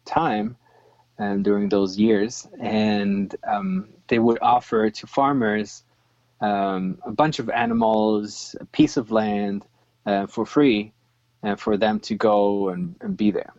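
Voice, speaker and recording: Slow, hesitant man from Chile. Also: fairly low pitch, a little mellow, no echo, lo-fi sound. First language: Swiss German. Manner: hesitant, many ums and restarts. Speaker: interviewee. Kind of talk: casual interview.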